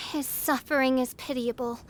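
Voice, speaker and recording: A young self-styled princess of doom in a video game. She declaims in a high voice at a slow pace, pompous and melodramatic, in archaic flourishes. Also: fairly even intonation, poor audio. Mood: mournful grandeur. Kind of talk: animated video game dialogue.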